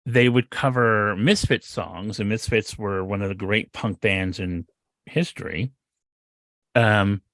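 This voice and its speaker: Teasing man with a dry close sound. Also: dry recording. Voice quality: higher voice. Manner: jokey, teasing, animated questions. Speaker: podcast co-host. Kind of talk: casual podcast chat.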